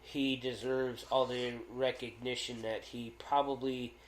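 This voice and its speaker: A man co-hosting a casual podcast, loud-voiced and rambling, with lots of fillers and restarts. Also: mid-range voice, little pitch movement, measured pace, echoey room, slight background noise, poor audio. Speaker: podcast co-host.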